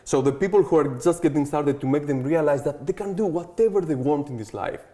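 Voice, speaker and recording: A man's voice: fairly high pitch, fairly quick, dark tone. A man in his thirties with a Greek accent, a guest in an interview, talking eagerly and confidently in a loose, chatty way. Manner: eager, confident, keeps adding "okay" and "like". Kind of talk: casual interview talk.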